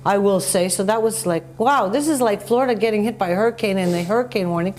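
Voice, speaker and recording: A woman's voice: medium pitch, fast. A woman hosts a conversational talk show with a mid-range voice. She tells personal stories emphatically and acts out what people said. There is faint noise and hum behind her. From North America. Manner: animated, emphatic storytelling with acted-out quotes. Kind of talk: conversational TV interview, telling anecdotes.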